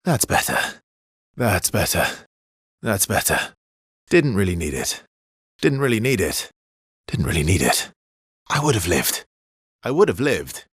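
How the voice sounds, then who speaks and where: Battle-hardened immortal swordsman for a video game: lowish, deliberate, intense, breathy and husky, terse dramatic combat calls. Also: big pitch swings, dry recording, studio quality, exaggerated voice. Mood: dry, understated. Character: weary, battle-hardened immortal male swordsman, grimly determined.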